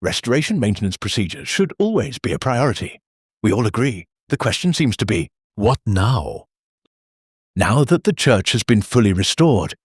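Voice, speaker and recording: An actor playing an earnest, formal church meeting chairman who speaks in a measured, bureaucratic deadpan. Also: mid-range voice, slightly rough, no echo, studio quality. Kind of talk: audio drama acting.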